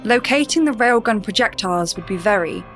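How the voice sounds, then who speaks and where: A woman narrating a sci-fi audio drama in a measured, explanatory way. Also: medium pitch, animated, a bit bright. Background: music.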